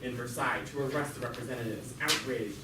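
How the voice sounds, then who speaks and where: A man's voice: medium pitch, fast, natural voice. Man acting as sardonic revolutionary narrator, conversational, stumbling, amateur puppet show, distant echoey recording. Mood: sardonic storytelling. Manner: conversational, sardonic asides, stumbling over words. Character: chatty jailed French revolutionary man telling his story. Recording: echoey, very noisy, distant mic.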